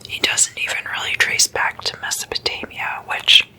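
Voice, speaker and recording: A woman hosting ASMR, whispering a scripted explainer in a breathy, deliberate way with measured pauses between phrases. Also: pushed and bright. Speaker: ASMR host.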